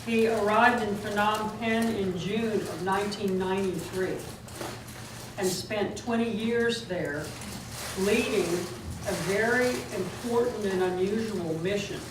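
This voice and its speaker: A woman hosting a seminar, giving a measured formal introduction of the guest speaker. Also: medium pitch. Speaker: event host introducing the guest. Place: lecture room. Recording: very echoey room, distant mic, noisy.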